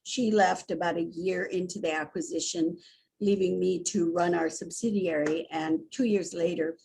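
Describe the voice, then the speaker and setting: A woman in her sixties from Hawaii, speaking as a guest in an animated, candid conversation full of restarts and asides. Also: lowish voice, moderate pace, gravelly, a little hiss or hum, rough recording. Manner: animated and candid, with frequent restarts and "you know". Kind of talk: conversational storytelling.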